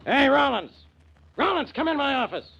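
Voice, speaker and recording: Gravelly, gruff police inspector. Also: male voice, extremely high pitch, animated, normal speed, loud music behind the voice, full character voice. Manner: gruff, brusque, bossy. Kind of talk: 1940s radio acting. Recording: lo-fi 1940s radio recording.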